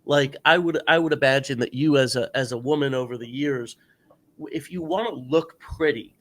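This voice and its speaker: A man's voice: mid-range voice, normal speed. Stuffed-up man with a sore throat. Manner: earnest, coaching, advice-giving. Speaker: podcast host. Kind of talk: casual interview chat.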